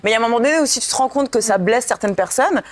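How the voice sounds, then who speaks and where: A woman on a TV panel, calm and conciliatory, reasoning her point. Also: on the high side, rapid-fire, no room sound. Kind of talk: TV panel debate.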